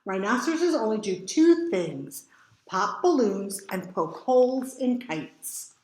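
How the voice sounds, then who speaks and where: Storybook expert, female, woman reader: brisk, matter-of-fact, questioning, roomy recording. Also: mid-range voice, big pitch swings, a little mellow. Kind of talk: picture book read-aloud for children.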